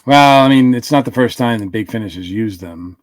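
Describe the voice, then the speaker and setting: A man chatting casually as a podcast panelist, often halting and doubling back on his words. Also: mid-range voice, dry recording. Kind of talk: casual chat reviewing audio dramas.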